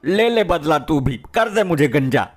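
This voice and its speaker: Man voicing a hapless high-voiced cartoon husband. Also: big pitch swings, a little slow, over loud music. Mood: pleading. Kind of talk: comedy cartoon voice acting.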